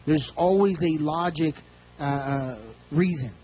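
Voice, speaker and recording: A man's voice: fairly high pitch, a little mellow, slight background noise. Man interpreting a sermon phrase by phrase. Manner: quick, restarting, echoes the speaker phrase by phrase. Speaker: church interpreter.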